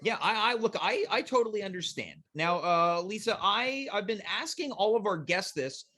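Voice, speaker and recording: A man's voice: sits high, expressive, normal speed, sharp tone. A man hosting a live talk show, bantering casually with a guest in a teasing, mock-serious way.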